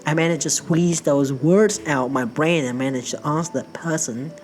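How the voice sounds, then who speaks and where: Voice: mid-range voice, moderate pace, faint music behind. A narrator from Perth, a native Vietnamese speaker who works in healthcare, telling a funny personal story in a playful, self-mocking way. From Perth, Australia. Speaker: healthcare worker.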